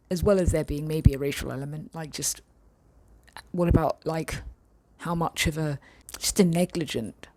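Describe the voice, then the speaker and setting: A woman vlogger chatting casually and thoughtfully with her viewers, often pausing and restarting her sentences. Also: low voice, a little mellow. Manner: thoughtful, hesitant, many fillers and restarts. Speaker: vlogger reading viewer comments. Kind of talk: casual chat.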